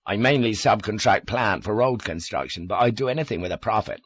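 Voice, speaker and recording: A man's voice: lowish voice, expressive, a little fast, fairly bright tone, breathy edge, no echo, dull audio, heavily acted. A man reading an audiobook as a blunt, sweary, hearty Devon man who is dismissive of everything, with a Devon accent. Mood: breezy boasting. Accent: Devon English.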